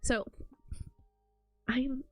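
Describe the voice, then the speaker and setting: Close and dry, a young woman talks to her stream with a stuffy, congested voice, wandering from thought to thought and packing her sentences with "like" and "um". Soft music plays faintly underneath her. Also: extremely slow, dry recording. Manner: rambling, full of "like" and "um". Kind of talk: casual chat to a live stream.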